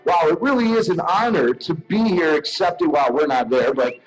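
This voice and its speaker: A man's voice: a little high, moderate pace, poor audio. A man who produces and co-hosts a podcast, giving a recorded acceptance speech. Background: music.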